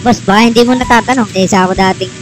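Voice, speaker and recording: A woman's voice: mid-range voice, fairly even intonation, rapid-fire. A Filipino woman playing an online roleplay game, chatting casually and improvising with other players. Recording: game voice chat under loud music.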